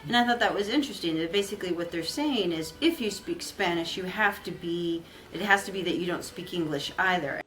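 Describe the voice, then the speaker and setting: A woman's voice: fairly low pitch, fairly quick, hardly any pauses, a bit bright, rough recording. A middle-aged woman giving a documentary interview, articulate and thoughtful, explaining her points.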